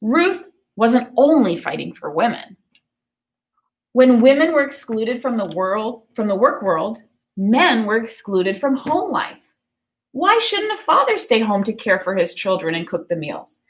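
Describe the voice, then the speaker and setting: A woman teacher reading a picture book aloud and pausing to explain it, often checking for understanding. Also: on the high side, sing-song.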